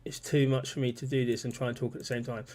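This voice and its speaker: A man's voice: mid-range voice, flat delivery, fast talker, a little mellow. A man hosting a casual livestream, rambling and repeating himself as he talks and reads out chat comments. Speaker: livestream host. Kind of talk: casual political livestream talk.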